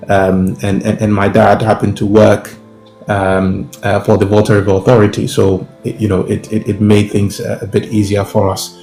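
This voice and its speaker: A young man with a Ghanaian accent answers interview questions in a low, deliberate voice. He pauses often, fills gaps with "uh" and "um", and restarts his phrases. The sound is roomy, with faint music playing underneath. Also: darkish tone. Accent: Ghanaian English. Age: young adult. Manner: measured, many fillers and restarts. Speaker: professor and guest.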